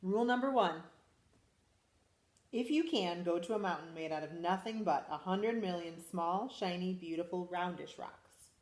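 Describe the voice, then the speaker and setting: A school principal, a woman, reading a picture book aloud to children in a lively, expressive way. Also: fairly low pitch, moderate pace, reverberant. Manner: animated, expressive storytelling to children.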